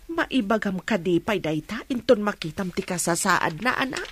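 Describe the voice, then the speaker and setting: A woman's voice: medium pitch, sing-song, normal speed, husky. A Filipino woman playing a middle-aged, worried mother who cautions her grown son in a radio drama. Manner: fretful, cautioning. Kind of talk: Ilocano radio drama acting.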